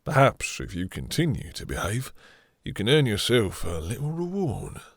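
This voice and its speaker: A man playing a possessive, seductive vampire master, breathy and husky, purring condescending endearments and commands in an intimate audio roleplay. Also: lowish voice, sing-song, normal speed, dry recording, clean studio sound. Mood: smug, controlling.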